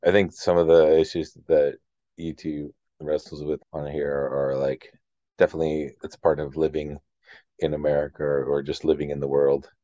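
Male podcast co-host, low-pitched, conversational and searching for words, on close, dry audio. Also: flat delivery, mellow, no room sound, muffled recording. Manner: halting, rephrases as he thinks aloud. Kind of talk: casual podcast discussion.

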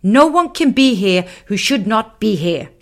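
A woman's voice: mid-range voice, sing-song, a little slow, pitched above the performer's own voice. A woman voicing a small, fussy blue man creature who scolds insistently in a storybook. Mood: agitated.

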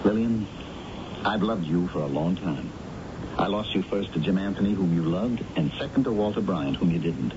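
A man's voice: deep voice, expressive, normal speed. Bitter, swooping American man, muffled radio. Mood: wounded, bitter. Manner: theatrical, wounded, sardonic. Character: bitter, lovelorn, defeated magazine editor. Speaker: radio drama actor. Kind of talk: 1970s radio drama acting. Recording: muffled, noisy old radio broadcast recording.

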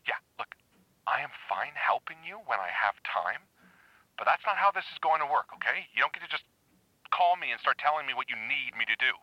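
A man's voice: medium pitch, animated, a little fast, no echo. A man playing an exasperated, sarcastic police employee in an audio drama, heard through a telephone filter. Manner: sarcastic, pointed. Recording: dull muffled phone line.

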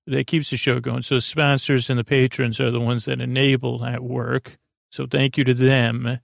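Creaky-voiced man, rambling bedtime podcast host. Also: medium pitch, no echo, clean studio sound. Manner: meandering, halting, self-deprecating, gently absurd tangents. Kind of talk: rambling, soothing bedtime monologue.

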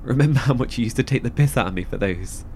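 A man acting a heartfelt, halting, foul-mouthed survivor devoted to his brother in a horror audio drama. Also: medium pitch, a little mellow, slight background noise, lightly acted. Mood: quietly fond.

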